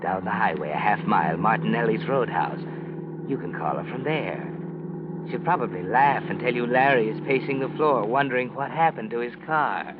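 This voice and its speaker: An actor playing a taunting, sardonic narrator who speaks like an inner voice in a 1940s radio thriller. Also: male voice, on the low side, animated, normal speed, very noisy, close to an everyday voice. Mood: insinuating. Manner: sardonic, insinuating. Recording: muffled 1940s radio recording over loud music.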